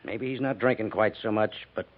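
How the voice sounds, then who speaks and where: An actor playing a gruff, skeptical cavalry major, brisk and authoritative, in 1950s radio drama style. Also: male voice, fairly low pitch, natural voice. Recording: tinny narrow-band 1950s radio recording.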